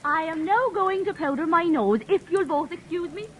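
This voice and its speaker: An actress playing Margaret, a brisk, teasing young office worker, in 1940s-style radio drama acting with a lilt. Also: female voice, extremely high pitch.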